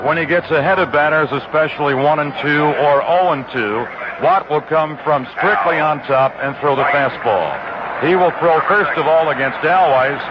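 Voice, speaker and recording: A man calling baseball on the radio from a ballpark booth, laying out statistics in a steady, detailed way. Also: on the high side, moderate pace. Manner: steady, detailed stats-heavy delivery. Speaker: radio play-by-play announcer. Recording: muffled 1969 radio broadcast with loud hiss.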